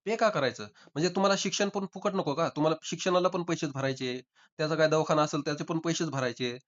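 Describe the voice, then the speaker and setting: A man's voice: on the high side, fast talker. A man arguing insistently in an informal phone debate, often repeating himself. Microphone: recorded phone call. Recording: dull lo-fi call recording.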